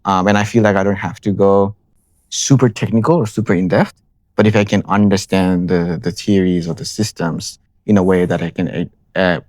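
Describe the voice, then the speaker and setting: A young man from Nepal, answering interview questions in a relaxed way, often restarting phrases with ums. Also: sits low, normal speed, dry recording. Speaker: interviewee, account manager.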